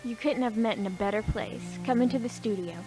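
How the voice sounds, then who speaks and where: A woman's voice: a little high, a little fast, dark tone, soft background music, close to an everyday voice. A woman playing a brazen, crafty old woman peddling scavenged goods in a radio play, saucy. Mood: eager, sly. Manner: saucy, hawking her wares.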